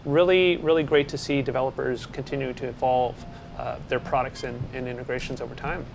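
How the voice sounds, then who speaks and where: Relaxed chatty male co-host. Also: medium pitch, brisk, creaky, background noise. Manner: relaxed, chatty with filler words. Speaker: show co-presenter. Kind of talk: casual chat. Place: studio set.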